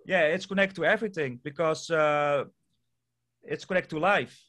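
Guest, male, Dutch accent, non-native English, somewhat high pitch, stumbling, repetitive delivery. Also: a bit bright. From Netherlands. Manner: halting, many fillers and repeated words. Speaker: guest, permaculture project founder. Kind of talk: casual interview answers.

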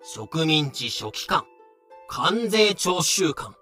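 Guy narrating an audio drama, high bright voice, slow announcer style, music behind. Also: very animated. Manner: clipped, announcing dates and titles.

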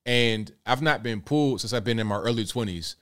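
Late-30s male streamer, relaxed conversational delivery, repeats his points. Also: mid-range voice, a little fast, clear, resonant tone. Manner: laid-back, conversational, repeats himself. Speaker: livestream host. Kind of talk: casual talk reading viewer comments.

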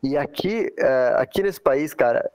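A man from Brazil, a podcast guest telling his story in a relaxed, chatty way. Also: fairly high pitch, a little slow, clear, resonant tone, no echo, soft background music. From Santa Catarina, southern Brazil. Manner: relaxed storytelling, frequent "cara" and "né". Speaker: podcast guest, airline pilot. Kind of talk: casual podcast chat.

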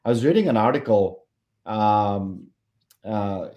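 A man in his forties from Bangladesh, a company founder answering interview questions in a relaxed, halting way with many fillers. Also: lowish voice, lo-fi sound. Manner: hesitant, many fillers and restarts. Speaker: company founder and CEO, podcast guest.

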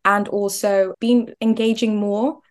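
A woman's voice: medium pitch, flat delivery, unhurried. A young woman from Nigeria talking chattily as a podcast guest, often laughing and restarting words. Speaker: guest, business founder. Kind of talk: podcast interview.

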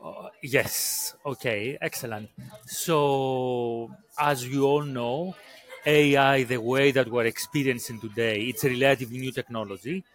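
A man from Greece with a Greek accent, a podcast guest telling his career story in a halting way, often repeating words and restarting sentences. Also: mid-range voice, fairly even intonation. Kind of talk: casual interview storytelling.

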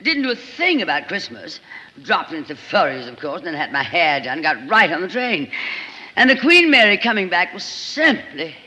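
A woman's voice: lowish voice, sing-song, normal speed, pushed and bright, slightly rough, exaggerated voice. A woman voicing a gushing, glamorous society actress with a breathless, drawling manner, in 1940s radio comedy acting. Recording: dull old radio recording, long reverb.

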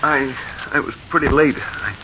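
An actor in an old radio drama playing a panicky, guilt-ridden husband, agitated and stammering. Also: male voice, medium pitch, moderate pace, pushed and bright, close to an everyday voice. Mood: evasive, nervous. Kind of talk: 1940s radio drama acting. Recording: dull, hissy old radio recording.

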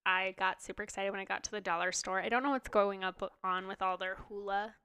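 A woman's voice: mid-range voice, flat delivery, fairly quick, sharp tone, clean tone, no room sound, studio quality. A woman with a stuffed-up nose, co-hosting a podcast in casual, giggly chat. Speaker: podcast co-host.

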